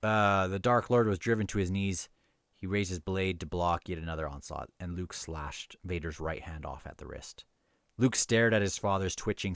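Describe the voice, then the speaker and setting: Guy with a lowish voice reviewing a book, reads bits out, stops to fix himself, dry close sound. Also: vocal fry, fairly bright tone, no echo. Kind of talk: casual book review with passages read aloud.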